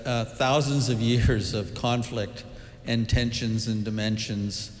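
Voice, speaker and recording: A man's voice: mid-range voice, steady tone, slow pace. A man moderating a public Q&A, hesitant and searching for words. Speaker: event moderator. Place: large church hall. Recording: long reverb in a church hall.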